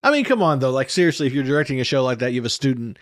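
A man in his forties hosting a reaction video, talking casually and emphatically into a close desk mic in a home studio, opinionated and animated. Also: mid-range voice, very fast. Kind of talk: casual commentary reacting to a TV show. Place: small home studio room, indoors. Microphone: close desk microphone.

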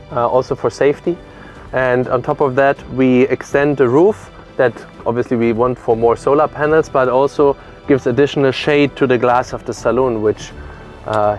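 A man's voice: medium pitch, darkish tone. Man giving a methodical yacht walkthrough. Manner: explanatory, methodical, lists features. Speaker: boat presenter. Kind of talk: product walkthrough. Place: aboard a motor yacht on a lake, on deck and in cabins.

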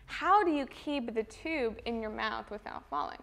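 A woman's voice: on the high side, lively intonation, normal speed, gravelly, fairly bright tone. A young woman hosting a casual interview, asking her guest questions in an informal conversational way. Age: young adult. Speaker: interview host. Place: small furnished indoor room.

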